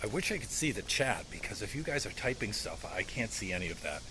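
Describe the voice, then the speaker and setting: Low-voiced American man, noisy outdoor livestream. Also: sing-song, fast. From United States. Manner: rambling, talks directly to viewers. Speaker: live streamer. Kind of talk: casual walking livestream chat. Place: outdoors, walking along streets to a park. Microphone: phone held at arm's length. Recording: noisy outdoor phone livestream, insect-like ambience.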